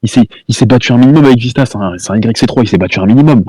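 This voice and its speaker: Fast-talking man, dark husky voice. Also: mid-range voice. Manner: insulting, repetitive, talks over the caller. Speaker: streamer debating a caller. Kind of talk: heated live-stream argument.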